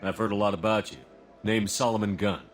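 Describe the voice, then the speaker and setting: Stoic commander for a game, male actor: fairly low, straightforward delivery, roomy echo. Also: fairly even intonation, a little fast, natural voice. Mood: guarded. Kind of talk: video game cutscene acting. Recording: roomy echo, faint ambient noise.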